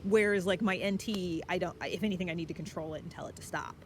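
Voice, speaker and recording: Vlog host, mid-pitched voice, conversational and self-reflective, thinking aloud over clearly noisy vehicle-like ambience. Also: fairly even intonation, fast talker. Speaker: channel host. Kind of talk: casual reflective solo vlog about personality typing.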